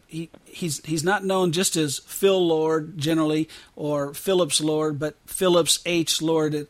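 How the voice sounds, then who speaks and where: A man's voice: fairly high pitch, dry recording. A man hosting a podcast and interviewing in a conversational style. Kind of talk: conversational radio-history interview.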